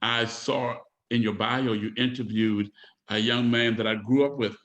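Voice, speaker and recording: A man's voice: mid-range voice, steady tone, a little slow, a bit bright. A man interviewing a guest, asking short questions and giving brief encouraging murmurs.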